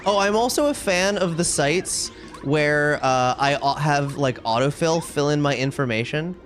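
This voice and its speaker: A man's voice: a little high, lively intonation, a little slow, a bit bright, soft background music. A man livestreaming a video game, chatting casually with viewers and commenting on play in a wry, ranting way.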